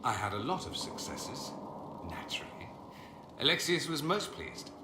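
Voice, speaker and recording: An actor playing a witty, proud mage in a video game, speaking in a theatrical, sardonic and articulate manner. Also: male voice, fairly high pitch, sing-song, moderate pace. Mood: rueful. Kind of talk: dramatic video game dialogue. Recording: roomy echo, faint ambient noise.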